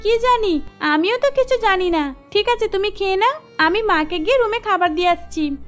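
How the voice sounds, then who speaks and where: A woman's voice: very high-pitched, expressive, normal speed, soft background music. A woman voicing a meek, dutiful young daughter-in-law in a cartoon, polite and deferential. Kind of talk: cartoon voice acting.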